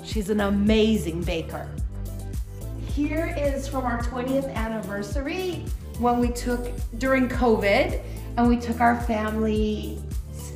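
Canadian lady in her 40s talking slow and earnest about her family, echoey room, music playing behind. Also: fairly high pitch. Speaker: guest. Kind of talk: personal presentation over a home video.

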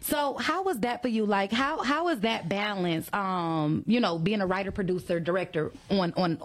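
A woman's voice: mid-range voice, moderate pace. A woman hosting a casual chat, talking in a loose, slangy way and swearing freely. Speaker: host.

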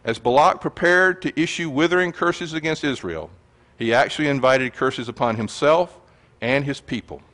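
A man's voice: a little high, lively intonation, moderate pace, a bit bright. A man gives an earnest sermon-style teaching and reads scripture aloud to a congregation. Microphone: handheld or stand mic.

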